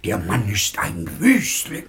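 An actor playing an obsequious, scandalised tutor in a radio play, fawning and conspiratorial. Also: male voice, fairly low pitch, huge pitch swings, slow, gravelly, fairly bright tone, heavily acted. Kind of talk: German radio play acting.

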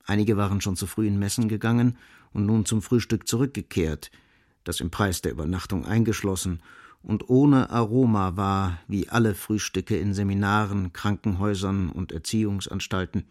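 A sombre literary narrator, voiced by a man in a radio play. He has a low voice and phrases gravely and deliberately, in a dry, close studio recording. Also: darkish tone, no room sound, natural voice.

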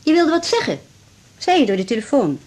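A woman's voice: sits high, very animated, a little fast, darkish tone. An actress playing a hurt, sarcastic woman being dropped by her lover, clipped and confrontational in a naturalistic radio drama. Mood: probing.

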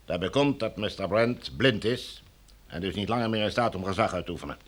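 An actor playing a formal, domineering military commander in a radio drama, smooth and coldly menacing. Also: male voice, lowish voice, a little fast, lightly acted. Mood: officious, imperious. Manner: formal, officious, bureaucratic.

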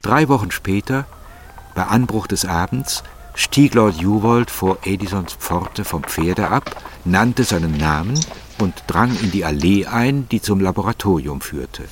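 Man, narrator, scripted literary narration, deep voice, slight background noise. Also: lively intonation, a little slow.

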